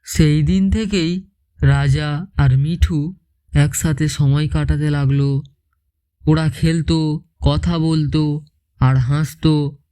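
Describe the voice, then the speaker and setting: A man narrating a scripted children's story in a measured way, as if for small children. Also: a little high, fairly even intonation, dark tone, smooth.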